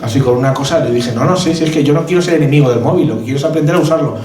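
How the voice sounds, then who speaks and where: A middle-aged man from Madrid with a Castilian Spanish accent, an author presenting his book in an informal, anecdotal way, halting and rambling with repeated words. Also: a little high, darkish tone. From Madrid, Spain. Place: event hall at a cultural centre. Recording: roomy echo, distant mic, background noise.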